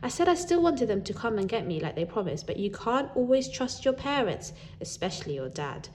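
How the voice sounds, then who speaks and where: A woman reading a children's book aloud in an animated way, as a storyteller who acts out the dialogue. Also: on the high side, a little fast, slight background noise.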